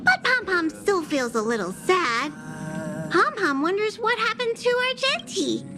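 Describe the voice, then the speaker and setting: A woman's voice: extremely high pitch, big pitch swings, measured pace, over music, exaggerated voice. A woman voicing a small, sweet, childlike game creature who refers to itself by name. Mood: sad, wondering. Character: small cute creature, sweet, speaks in third person. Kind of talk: video game character acting.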